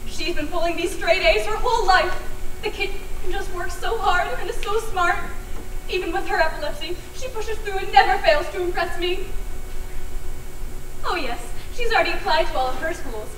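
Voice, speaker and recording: A woman's voice: very high voice, normal speed, a bit bright. A woman on stage playing a boastful, gushing mother, chatty and emphatic. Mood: gushing, proud. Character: boastful, gushing mother of a high school student. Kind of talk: one-act stage play acting. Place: indoor stage, recorded from far off. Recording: roomy, distant, noisy stage recording.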